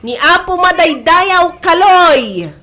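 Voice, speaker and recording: A woman's voice: very high voice, expressive, slow, sharp tone, full character voice. A woman acting an eager, pushy campaign supporter in an Ilocano comedy radio drama, speaking with declamatory emphasis. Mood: fervent campaigning.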